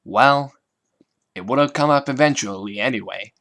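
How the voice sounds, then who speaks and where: A man's voice: mid-range voice, normal speed, vocal fry, fairly bright tone. Man reading as a teenage girl, easygoing, slightly guarded, casual, hesitant, storybook character. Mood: resigned.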